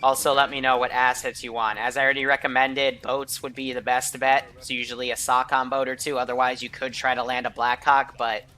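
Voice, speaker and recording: Bright-voiced blunt man briefing gamers. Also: medium pitch, level tone, brisk, hardly any pauses, faint music behind. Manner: blunt, sweary, sardonic. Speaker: mission commander in an online military game. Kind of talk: mission briefing over game voice chat.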